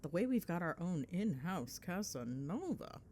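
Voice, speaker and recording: A woman's voice: lowish voice, sing-song, a little slow, a little mellow, slight background noise. A woman playing a chatty, gossipy girlfriend in a casual ASMR phone-call roleplay, with playful, sweary, dramatic griping. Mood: chatty, fond. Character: chatty, gossipy girlfriend venting on the drive home.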